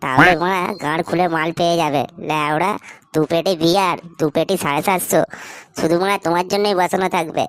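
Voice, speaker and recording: A woman's voice: on the low side, steady tone, fast, no room sound, studio quality, close to an everyday voice. A woman voicing a pushy, foul-mouthed young lad who wheedles as a sidekick in a comedy cartoon. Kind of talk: Bengali comedy cartoon voice acting. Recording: noisy audio with clicks.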